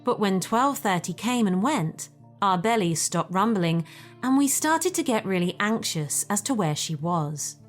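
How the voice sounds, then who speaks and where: A woman's voice: medium pitch, expressive, measured pace, faint music behind. A woman with a British accent reading a scripted first-person story as a storyteller.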